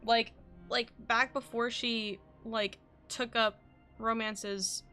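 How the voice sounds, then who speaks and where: A woman's voice: on the high side, level tone, slow, pushed and bright, faint music behind. A woman streaming a game, commenting casually and live.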